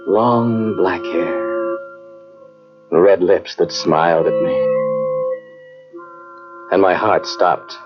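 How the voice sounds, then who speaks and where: An actor playing a haunted scientist in an old-time radio drama, telling of a terrifying ordeal in a dramatic, halting, brooding way. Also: male voice, low voice. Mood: haunted awe. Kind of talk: old-time radio drama acting, first-person narration. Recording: dull old cassette radio recording, music behind.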